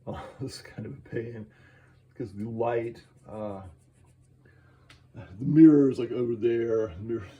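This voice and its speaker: A man talking casually while drawing, halting and enthusiastic, with many fillers and restarts, moving around his studio. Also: mid-range voice, mellow, husky, lo-fi sound. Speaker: artist vlogging while drawing. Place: indoor studio, moves around the room.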